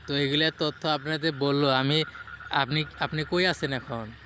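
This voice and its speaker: Man posing as a flat-toned, probing Bangladeshi police official. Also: a little high, normal speed. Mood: officious. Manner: questioning, admonishing, hesitant starts. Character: fake police official, male, reproachful and probing. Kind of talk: prank phone call. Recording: phone call with faint noise.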